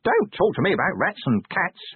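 A man's voice: high-pitched, wildly swooping pitch, a little fast, slightly rough, dry recording, voice pushed up, exaggerated voice. A man voicing a tiny, proud magical imp in a storybook, officious and eager to please, with chirpy formal speech. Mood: proud, relieved. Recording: narrow-band, phone-like audio.

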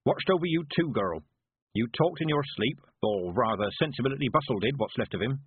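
A man voicing a stern, commanding old female witch in an audiobook, speaking in a brisk and imperious way. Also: mid-range voice, expressive, breathy edge, no room sound, phone-quality sound.

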